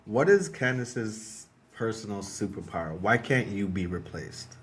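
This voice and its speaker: A man's voice: lowish voice, expressive, measured pace, slight background noise. A man hosting a podcast, asking interview questions and giving short affirming replies. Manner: brief affirming responses. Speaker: podcast host.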